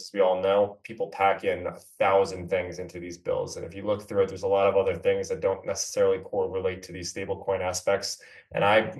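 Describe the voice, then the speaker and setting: A man's voice: low-pitched, a little mellow. A man from New York City, a company co-founder, explaining at length in a businesslike podcast interview. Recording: echoey room, far from mic.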